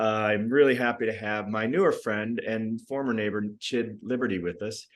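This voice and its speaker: A man hosting an interview podcast, introducing his guest with effusive praise. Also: on the low side. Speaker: podcast host.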